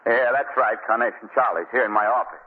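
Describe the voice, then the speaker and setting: An actor playing a blustering middle-aged police commissioner, brusque and emphatic, in 1940s radio drama style. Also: male voice, mid-range voice, fast, husky, lightly acted. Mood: relieved, boastful. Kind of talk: 1940s radio crime acting. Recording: muffled, scratchy 1940 radio recording.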